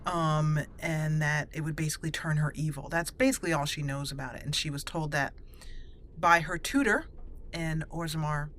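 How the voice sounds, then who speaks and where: A woman's voice: deep voice, fairly even intonation, normal speed, a bit bright, slight background noise. A woman hosting a roleplay video game series, chatting casually with frequent fillers and self-corrections. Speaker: let's play host.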